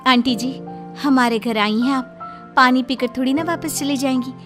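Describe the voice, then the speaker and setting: Woman as a high-voiced, cheeky young bride. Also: moderate pace, natural voice. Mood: teasing. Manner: pert, mock-sweet back-talk. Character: cheeky, stubborn young bride who talks back. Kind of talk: cartoon family drama acting. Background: background music.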